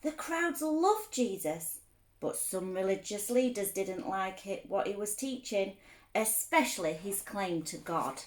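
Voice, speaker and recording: A woman reading a story aloud for children, expressive, explaining things simply as a teacher would. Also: medium pitch, a little slow, echoey room.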